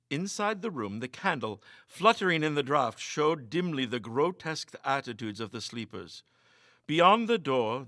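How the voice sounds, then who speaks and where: A man's voice: fairly high pitch, pushed and bright, no echo, clean studio sound. A man reading a ghost story as dramatic, suspenseful scripted narration, in a theatrical manner. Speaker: story reader.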